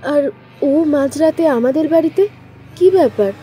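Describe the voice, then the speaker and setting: Woman acting a high, soft-toned worried wife. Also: expressive, measured pace, faint music behind. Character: worried wife woken at night. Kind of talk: dramatised audio story.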